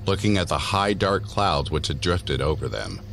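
Very deep-voiced male horror narrator. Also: big pitch swings, moderate pace, creaky, fairly bright tone, slight background noise. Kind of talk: scripted horror story narration.

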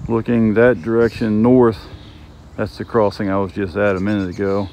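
A man's voice: fairly low pitch, level tone, moderate pace, a little mellow, highs cut off. Middle-aged man, casual railfan chatter, phone mic outdoors, faint traffic behind. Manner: chatty, points things out to viewers. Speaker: railfan vlogger. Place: outdoors by railroad tracks. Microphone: phone camera held by the speaker.

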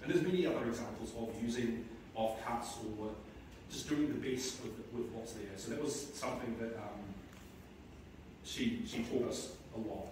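A middle-aged man giving a eulogy for his mother in a large church, speaking in a halting way with many restarts. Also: medium pitch, background noise. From New Zealand. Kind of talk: eulogy at a memorial service. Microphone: far camera in the pews. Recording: echoey church from far off.

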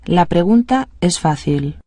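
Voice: medium pitch, takes it slowly, dry recording, clean studio sound. Neutral reader giving drill prompts. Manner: even, neutral prompt reading. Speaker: Spanish phrase reader. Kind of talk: read-aloud language drill.

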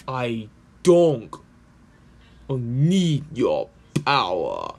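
A performer voicing a gruff, hot-tempered male cartoon echidna warrior in an amateur comic dub. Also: medium pitch, wildly swooping pitch, drawn-out words, pitched below the performer's own voice, full character voice. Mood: angry.

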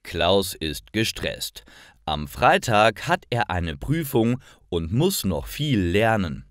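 A man reading a scripted story for language learners in a deliberate, expressive storytelling manner. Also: on the low side, dry recording, clean studio sound. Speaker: narrator of stories for German learners. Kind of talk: scripted narration, slow read-aloud story for learners.

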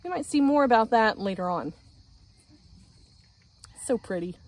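A woman's voice: on the high side, lively intonation, unhurried, no room sound. A young woman chatting casually to her phone camera outdoors in a backyard garden, upbeat while she works. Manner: chatty and upbeat, talking while doing yard work. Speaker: homestead vlogger. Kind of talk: casual vlog talk to a handheld camera. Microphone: handheld phone at arm's length.

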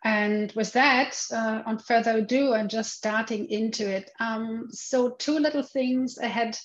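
A middle-aged woman from Germany with a German accent, giving a chatty, loosely worded webinar lecture over a video call, with many restarts and fillers. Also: a little high. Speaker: guest lecturer. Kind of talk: webinar presentation. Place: home room. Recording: slightly dull video-call audio.

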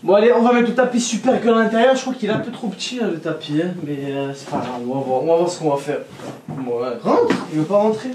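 A young man vlogging casually at home, excitable and chatty, talking straight to his viewers and laughing often. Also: sits high, fast talker, background noise. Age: young adult. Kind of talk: casual vlog to camera. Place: indoor bedroom at home. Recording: roomy echo, voice from off-mic.

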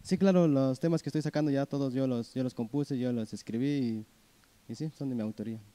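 A man in his twenties, a singer-songwriter answering interview questions in a relaxed way with many fillers, on a handheld mic in a small room. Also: medium pitch, brisk. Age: 20s.

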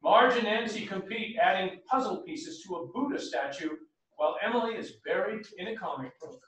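A woman's voice: medium pitch, expressive, moderate pace, fairly bright tone. A woman reading out stage directions as scripted narration for a staged play reading. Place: theatre stage, indoors. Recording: echoey theatre stage from far off.

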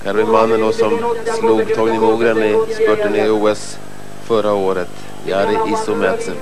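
A Swedish man with a low voice adds expert comments during a ski relay. He is analytical and hesitates often. Loud music plays behind him on an old tape. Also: monotone. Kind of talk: live ski race commentary.

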